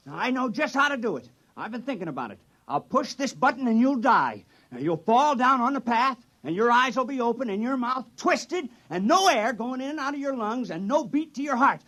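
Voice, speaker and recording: A timid, earnest village man in an old radio play, hesitant and stammering, with a high, husky voice that swings widely. He sounds gentle even when he tries to threaten. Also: a little fast, few pauses, exaggerated voice. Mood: shaky. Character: timid young village man made town criminal. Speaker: town criminal. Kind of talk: 1950s radio drama acting.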